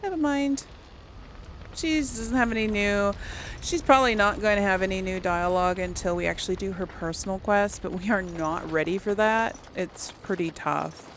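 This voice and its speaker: Woman gamer with creaky voice, chatting casually. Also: mid-range voice, moderate pace, slight background noise. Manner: rambling, thinking aloud. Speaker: let's play host. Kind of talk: casual gameplay commentary, talking to viewers.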